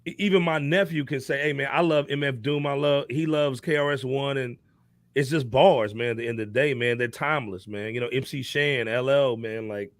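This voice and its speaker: A man co-hosting a hip hop podcast in loose, animated casual talk, often tagging on "man" and "you know what I'm saying". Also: medium pitch, brisk, no echo. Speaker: podcast co-host.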